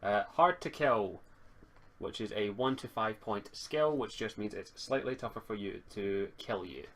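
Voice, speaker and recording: A man acting as game master, casually explaining tabletop game rules and reading items haltingly from a rulebook. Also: on the low side.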